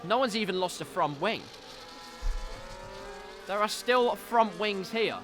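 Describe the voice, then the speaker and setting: A man with a stuffy, congested nose commentating a racing league, with wry asides that turn loud and excited when cars crash. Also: high-pitched, slow, noisy background.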